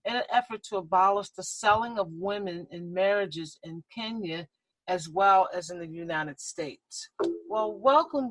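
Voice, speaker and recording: A woman hosts a radio talk show, welcoming a guest and asking questions. She speaks slowly and deliberately, reacting with soft affirmations. The sound is dry. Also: medium pitch, no room sound, poor audio.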